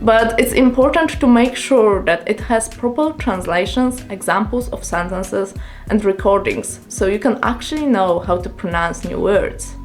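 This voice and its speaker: A woman whose first language is Polish talks through her journaling tips in English at a medium pitch. Her delivery is calm and earnest, and she moves through them point by point like a prepared script. A faint bed of music plays underneath her voice. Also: moderate pace. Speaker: language-learning YouTuber.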